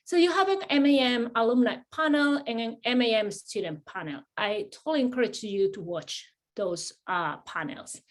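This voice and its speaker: A woman's voice: on the high side, lively intonation, normal speed, few pauses. A middle-aged woman from Spain with a Spanish accent, hosting an admissions webinar in a friendly way, with many fillers and restarts. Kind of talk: webinar presentation.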